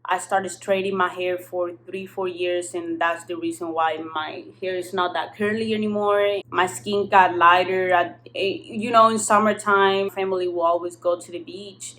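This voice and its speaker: A young woman from southern Spain speaks in a fairly low voice, as a native Spanish speaker using casual, slangy English. She tells her story frankly to a camera a little way off in her apartment, swearing freely. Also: little pitch movement, moderate pace, hardly any pauses, a bit bright, a little hiss or hum. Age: young adult. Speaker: vlogger. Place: apartment kitchen-living room.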